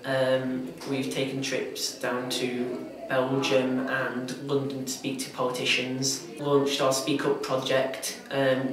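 Flat-voiced young man, far and echoey. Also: mid-range voice. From Carmarthenshire, Wales. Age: young adult. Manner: flat, measured, hesitant with fillers. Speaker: youth council volunteer. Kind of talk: documentary interview. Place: indoor room. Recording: very echoey, distant, music over.

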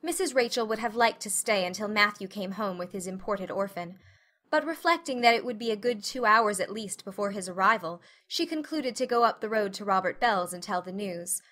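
Medium-pitched woman narrating an audiobook. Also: animated, brisk, a bit bright. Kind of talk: scripted literary narration.

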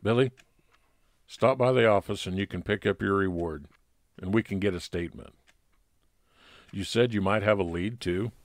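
A man voicing a gruff, grousing male police detective with a brusque manner in an old-time radio drama. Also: on the low side, normal speed, long pauses, dry recording, studio quality, lightly acted. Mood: businesslike. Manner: grumbling, brusque.

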